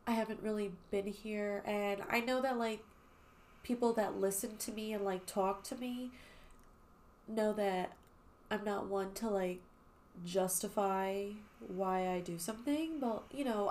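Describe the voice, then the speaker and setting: A young woman talks casually on her podcast, rambling with plenty of fillers and the odd swear word. Her voice is mid-pitched and a bit nasal, as if she has a cold. A faint noise sits under the recording. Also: a little mellow. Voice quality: slightly nasal, congested. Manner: chatty, swears freely, many fillers like "um" and "like". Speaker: podcast host. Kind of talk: casual chat.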